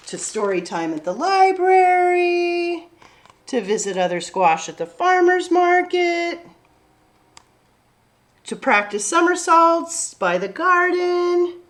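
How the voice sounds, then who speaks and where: A woman's voice: very high-pitched, big pitch swings, slow pace, fairly bright tone. A woman hosting a children's story time, talking and reading aloud expressively to young listeners.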